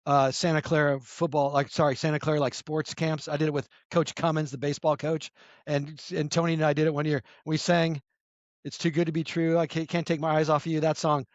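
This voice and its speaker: A raspy-voiced man in his fifties, a podcast guest telling rambling stories with lots of restarts and self-corrections. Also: fairly high pitch, little pitch movement, brisk, no room sound, muffled recording. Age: 50s. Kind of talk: casual storytelling chat.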